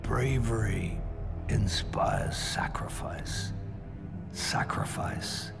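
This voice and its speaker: A weary old captive sage, low and gravelly. He speaks solemnly and with dry defiance, beneath a loud game score. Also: extremely slow, over loud music, exaggerated voice. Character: weary, defiant old male spiritual leader held captive. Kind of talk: cinematic game acting. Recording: under loud cinematic score.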